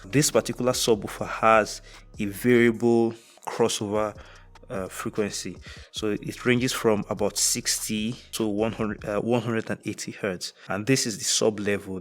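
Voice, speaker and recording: Low-voiced young man giving a tech tutorial. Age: young adult. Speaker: tutorial presenter. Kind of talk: instructional explanation of audio gear. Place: indoors, dark studio room.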